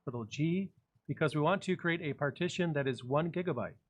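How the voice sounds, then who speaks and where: A man narrating a software tutorial, calmly giving step-by-step instructions. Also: on the high side, brisk.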